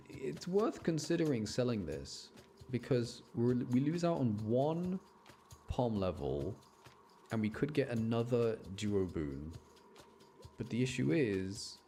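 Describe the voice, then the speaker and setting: A man's voice: on the low side, mellow, slight background noise. A man doing casual live game commentary for his chat, rambling and thinking aloud as he plays. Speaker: game streamer.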